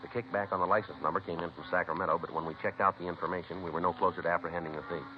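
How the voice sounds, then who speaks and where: An American man playing a terse, matter-of-fact police detective in a 1950s radio drama, with a clipped, deadpan, businesslike delivery. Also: low voice, very fast, over music, close to an everyday voice. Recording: noisy, muffled 1950s radio recording.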